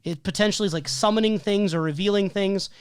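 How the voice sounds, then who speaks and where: A man talks through his prepared notes in a steady, methodical way, often pausing with an "um" or a "like". His voice is wearing thin and going hoarse. The recording is dry and close, with almost no room sound. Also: high-pitched, no echo. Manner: methodical, listing details with frequent "um" and "like". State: losing his voice. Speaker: podcast co-host. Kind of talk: reading prepared film analysis notes.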